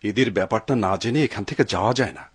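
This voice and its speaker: A man's voice: fairly low pitch, fast talker, lightly acted. A man voicing a humble, devout woodcutter in a cartoon, kind and cautious, musing aloud to himself expressively. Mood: astonished, wary. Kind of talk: dubbed cartoon voice acting. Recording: clear background noise behind the voice.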